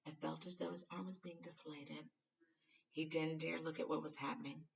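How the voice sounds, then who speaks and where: A woman's voice: deep voice, flat delivery, normal speed, creaky, recorded from a distance, muffled recording. A woman reading a children's novel aloud expressively, sometimes stumbling and correcting herself. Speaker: storybook reader.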